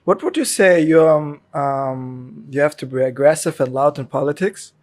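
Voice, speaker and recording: A man interviewing a guest, asking questions in a halting way with restarts. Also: fairly high pitch, a little mellow. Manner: halting, stumbles over questions.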